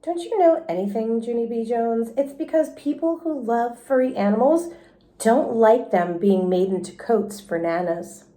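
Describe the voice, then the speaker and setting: A woman's voice: a little high, moderate pace, dark tone, natural voice. A woman voicing a snooty, rich kindergarten girl in a storybook, boastful and scornful like a know-it-all. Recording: roomy, echoey indoor sound.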